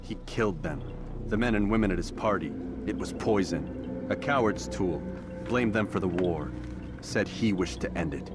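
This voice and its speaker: A man as a deep-voiced, stern assassin. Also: normal speed, close to an everyday voice. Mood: grave, doubtful. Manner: terse, grave, blunt. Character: stern, proud, doubting young male assassin. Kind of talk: dramatic video game acting. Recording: loud music behind the voice.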